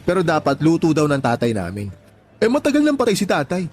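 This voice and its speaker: A man's voice: a little high, huge pitch swings, quick pace, a little mellow, soft background music. An actor playing a married father, a sympathetic friend chatting matter-of-factly in a radio drama. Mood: reassuring, chatty. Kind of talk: Tagalog radio drama dialogue.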